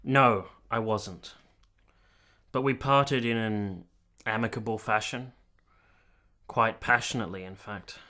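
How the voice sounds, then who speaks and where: A low male voice as an earnest nineteenth-century soldier in a radio play. He is solemn and measured, with formal, literary phrasing and a troubled conscience. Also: fairly even intonation, sharp tone. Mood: subdued, tender. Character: earnest, tormented male soldier in 1870s Italy, narrating his past. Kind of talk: dramatic radio play narration and dialogue.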